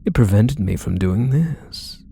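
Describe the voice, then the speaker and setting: A possessive yandere husband, acted by a man, murmurs in an intimate ASMR roleplay with doting, quietly menacing condescension. His voice is mellow and creaky, with pitch that rises and dips widely. He coaxes softly and lets his endearments linger. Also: animated, a little slow, a little hiss or hum. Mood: tender, possessive. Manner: soft, coaxing, condescending endearments, lingering phrases.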